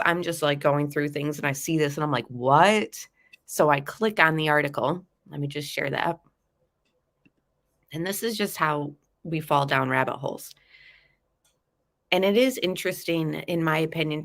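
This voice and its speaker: Stuffy, low-voiced woman chatting with viewers. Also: normal speed, vocal fry, a bit bright. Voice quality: nasal. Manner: chatty, addresses viewers as guys, fillers like um. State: stuffy nose from a cold. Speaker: true crime vlogger. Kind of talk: casual live chat commentary.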